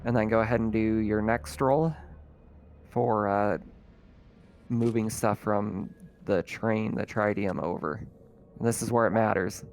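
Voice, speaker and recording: A man's voice: fairly low pitch, fairly even intonation, unhurried, gravelly, a little hiss or hum. A man running a tabletop game, narrating in an informal, conversational way as game master.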